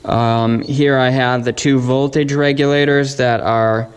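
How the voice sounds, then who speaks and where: A man's voice: mid-range voice, fairly even intonation, unhurried, clean tone. A young man presenting a hands-on electronics build in a casual, matter-of-fact, informal way.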